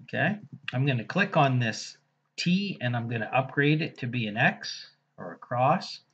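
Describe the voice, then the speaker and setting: A man teaching software in a casual screen-recorded tutorial, thinking aloud as he clicks through each step. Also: mid-range voice, expressive, moderate pace, muffled recording, a little hiss or hum. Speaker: software instructor.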